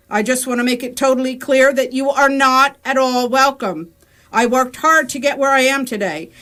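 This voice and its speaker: A woman's voice: sits high, pushed and bright. A woman playing an officious, hostile alien starship captain, making pompous, curt announcements in a comic sci-fi radio play.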